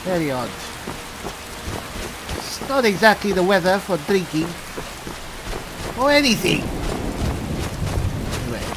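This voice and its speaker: A man doing in-character roleplay commentary on a game, musing haltingly as if talking to himself. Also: high-pitched, animated, background noise. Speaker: gameplay host, player in character. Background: rain and thunder from the game.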